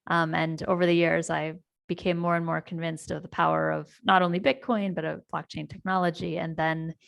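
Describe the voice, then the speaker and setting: A woman's voice: sits low, flat delivery, brisk, creaky. A middle-aged American woman telling her story and presenting a project on a video call, with many ums and restarts. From United States. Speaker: community presenter. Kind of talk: online town hall presentation.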